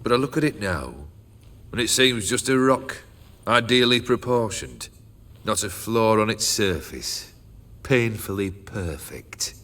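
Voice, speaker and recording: A man's voice: fairly low pitch, animated, a little slow, a bit bright. An actor playing a jaded, cursed male noble who leads a band of bandits, talking in a languid, sardonic drawl in a game cutscene. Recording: rowdy crowd of revelers behind.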